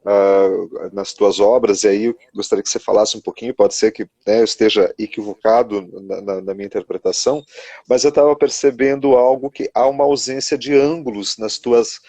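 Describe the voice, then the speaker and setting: A man with a Brazilian Portuguese accent, a professor hosting a live online interview, asking friendly questions in a halting way with restarts. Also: lowish voice, fairly even intonation, mellow, dry recording. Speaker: host, professor.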